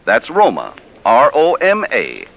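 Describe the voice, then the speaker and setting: A man's voice: medium pitch, wildly swooping pitch, a little slow, vocal fry, lo-fi sound. A man reading a polished, emphatic 1940s radio wine commercial as announcer. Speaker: sponsor announcer.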